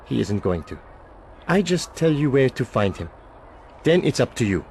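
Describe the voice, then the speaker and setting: A man's voice: medium pitch, sing-song, moderate pace, darkish tone, a little hiss or hum. An actor playing a shady, guarded barman informant in a video game, with a wary, shifty way of talking. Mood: wary, bargaining. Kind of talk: video game cutscene acting.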